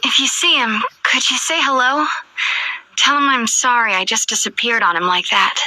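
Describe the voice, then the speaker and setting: A woman's voice: a little high, moderate pace, sharp tone, no room sound, poor audio. A woman playing an anxious, earnest young former cafe worker in a video game. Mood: polite, wistful. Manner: earnest, hesitant, fretful. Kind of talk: scripted video game voice acting.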